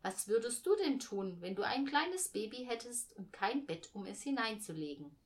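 A storybook mother, voiced by a woman, patient yet strained. She explains in a restrained way, with a lively, fairly high voice. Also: moderate pace. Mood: patient, explaining. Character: patient but strained mother instructing children. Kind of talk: storybook reading.

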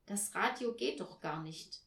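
A woman's voice: mid-range voice, big pitch swings, moderate pace, echoey room, far from the mic. A woman voicing a well-meaning young boy in a storybook reading, light and coaxing in manner. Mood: cautious. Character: well-meaning young boy, teasing yet consoling son.

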